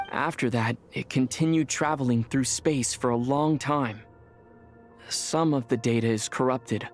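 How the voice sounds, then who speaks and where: An actor playing a thoughtful, earnest young male traveler in a video game, speaking in a measured, reflective way. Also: medium pitch, lightly acted. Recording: music behind the voice.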